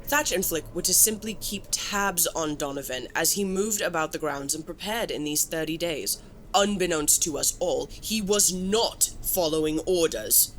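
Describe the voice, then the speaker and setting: Confident female fantasy general, acted by a woman, blunt, commanding, crisp formal phrasing, faint ambient noise. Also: deep voice, moderate pace, close to an everyday voice, rough recording. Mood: brisk, commanding. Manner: crisp, commanding, formally deferential yet pointed. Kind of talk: fantasy audio drama acting.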